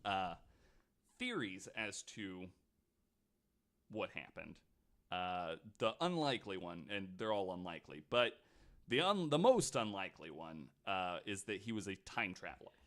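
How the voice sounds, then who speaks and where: A man's voice: low-pitched, sing-song, measured pace, a bit bright. A man hosting a podcast, telling a story in casual chat, reading quotes aloud with lots of fillers. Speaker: podcast host.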